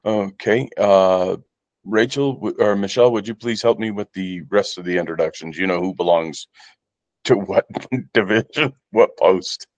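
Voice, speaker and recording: A man with a low voice chairs a committee meeting. He speaks slowly and formally, working through procedure. The sound is close and dry, with no room around it. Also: dry recording, dull audio. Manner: slow, formal procedural speech.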